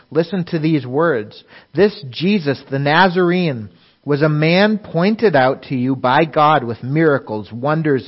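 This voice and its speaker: A man's voice: a little high, muffled recording. A man giving a sermon, reading Bible passages aloud and explaining them. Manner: reads scripture aloud then explains it. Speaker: preacher.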